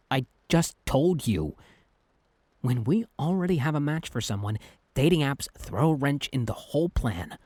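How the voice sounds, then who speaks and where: A man's voice: medium pitch, a little mellow, no room sound. A man playing a possessive, exasperated young Cupid who is secretly lovesick, in a conversational, emphatic boyfriend roleplay audio drama. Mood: annoyed, frustrated. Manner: conversational, exasperated, emphatic, hesitant when flustered.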